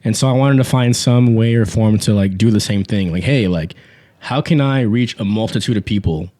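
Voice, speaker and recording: A man's voice: on the low side, moderate pace, dry recording. A man in his twenties co-hosting a casual faith podcast, talking easily with fillers and restarts. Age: 20s. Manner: relaxed, frequent fillers and restarts, laughs easily. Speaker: podcast co-host. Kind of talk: casual chat. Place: small home podcast setup. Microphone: studio podcast mic.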